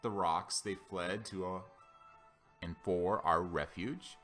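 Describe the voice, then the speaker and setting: A man's voice: low voice, fairly even intonation, slow, a bit bright, faint music behind. A man voicing a mighty, wrathful God in a scripture reading, commanding and declamatory as he proclaims vengeance.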